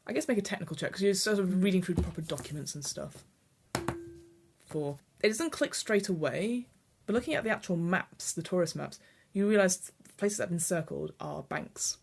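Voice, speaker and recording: Woman game master; slightly low, relaxed, descriptive delivery. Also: normal speed, gravelly, a little hiss or hum. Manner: relaxed, descriptive, hesitant fillers. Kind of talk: narrating a tabletop game.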